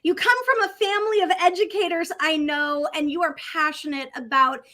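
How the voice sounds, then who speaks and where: A woman's voice: sits high, moderate pace, pushed and bright. A middle-aged woman hosting an upbeat online session from a home office, talking in an effusive, enthusiastic way over a video call. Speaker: host.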